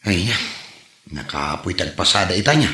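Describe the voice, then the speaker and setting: A man's voice: sits low, very animated, normal speed, pushed and bright, full character voice. A man playing a hapless, complaining tricycle driver in a comedy drama, stammering and exclaiming in exasperation. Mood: grumbling. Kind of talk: comedy radio drama acting. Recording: roomy, echoey sound.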